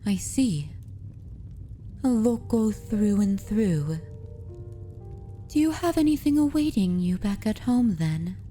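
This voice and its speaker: A woman playing an aristocratic vampire mistress in an ASMR roleplay, predatory yet consoling, speaking formally and deliberately in a soothing way. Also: mid-range voice, lively intonation, warm tone, soft background music. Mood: gentle, sympathetic. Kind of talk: ASMR roleplay, scripted dramatic monologue.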